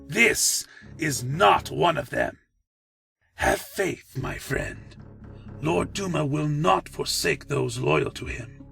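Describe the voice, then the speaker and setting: A man playing a stern, loyal vampire officer in a fantasy audio drama, gravelly, haughty and reproachful. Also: fairly high pitch, expressive, slow, bright, forceful tone, soft background music, heavily acted. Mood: firm, placating. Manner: reproachful, emphatic.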